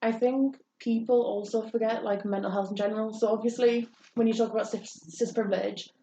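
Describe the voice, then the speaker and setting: A woman's voice: on the high side, fairly even intonation, fairly quick, dark tone. A young woman co-hosting a podcast, telling her own story in a candid, rambling way with many hesitations. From England. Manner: earnest, rambling, frequent hesitations. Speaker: podcast co-host. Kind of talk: candid personal chat. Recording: roomy, distant, dull with faint hiss.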